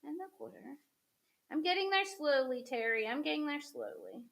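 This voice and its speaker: A woman's voice: high voice, animated, moderate pace, a bit bright. A woman hosting a crafting demo, chatting casually to viewers as she paints, a little sniffly from a cold. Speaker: craft host.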